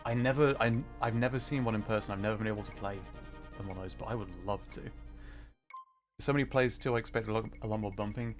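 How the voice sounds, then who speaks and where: A man livestreaming a video game, chatting casually with viewers and explaining the play in an excitable way. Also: on the low side, quick pace. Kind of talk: casual live gaming commentary, chatting with viewers. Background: video game music.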